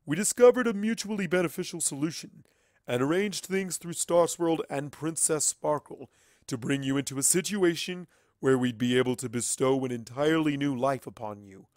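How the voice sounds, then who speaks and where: Man voicing a lofty, forceful otherworldly collective being. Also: medium pitch, dry recording, clean studio sound, pitched above the performer's own voice, natural voice. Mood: lofty, detached. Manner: formal, measured, condescending. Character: cold, lofty otherworldly collective entity speaking through a child. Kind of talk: dramatic fan fiction reading.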